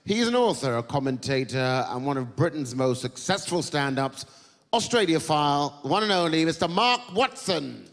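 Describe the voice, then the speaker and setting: A man's voice: fairly high pitch, animated, normal speed, a bit bright. A man announcing an act in a live stage introduction. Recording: reverberant hall sound.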